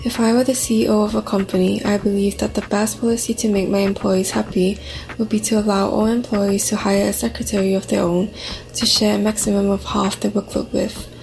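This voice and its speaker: Flat, gravelly deadpan woman from Singapore. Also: medium pitch, rough recording. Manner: dry, tongue-in-cheek. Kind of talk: prepared short answer.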